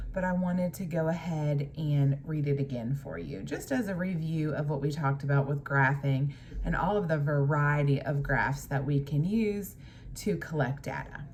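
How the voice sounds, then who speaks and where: A woman teacher doing a picture-book read-aloud, pausing to explain things to young pupils. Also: low-pitched, a little mellow, slight background noise.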